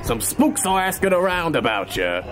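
A gruff tavern keeper, acted by a man, wary and blunt, with a fairly high voice that swoops widely. Faint music runs behind this audio drama performance. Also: very animated, moderate pace. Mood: matey, wary. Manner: brusque, informal.

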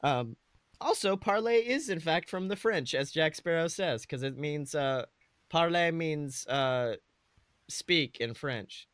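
A man's voice: a little high, lively intonation, slow pace, fairly bright tone, dry recording. A man co-hosting a podcast in casual comedic chat, joking with lots of slang and swearing. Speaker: podcast co-host.

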